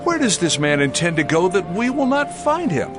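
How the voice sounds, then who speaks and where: A man's voice: high-pitched, very animated, normal speed. An actor playing an astonished, skeptical man from an ancient crowd in a dramatized audio Bible. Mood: scornful, puzzled. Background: music.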